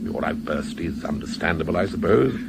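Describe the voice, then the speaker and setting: A man's voice: sits low, animated, normal speed, vocal fry, very noisy. A British man playing an urbane, mocking devil in a 1970s radio play, pompous and wry. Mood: wry, brisk. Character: urbane, mocking male devil, theatrical and impatient.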